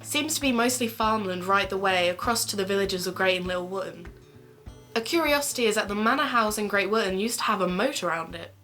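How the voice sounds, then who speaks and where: A woman's voice: mid-range voice, lively intonation, a little fast, sharp tone, soft background music. A woman playing a curious, upbeat amateur sleuth and podcaster in an audio drama, speaking in a friendly, informal way. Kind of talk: conversational audio drama acting.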